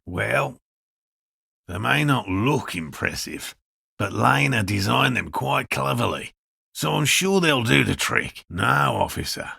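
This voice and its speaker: Casting: fussy, proud cryptozoologist for a video game. Lowish, very creaky voice, bookish and formal delivery. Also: male voice, bright, forceful tone, no room sound, studio quality, full character voice. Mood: dry, dismissive. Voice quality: gravelly, husky. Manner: precise, bookish, formal wording. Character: earnest, fussy cryptozoologist, a proud outsider scientist. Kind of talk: video game dialogue acting.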